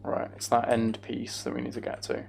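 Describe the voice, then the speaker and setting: Gravelly British man narrating gameplay. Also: mid-range voice, monotone, normal speed, a little hiss or hum. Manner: thinking aloud, mild swearing. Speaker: gamer narrating own play. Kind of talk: casual, relaxed video game commentary.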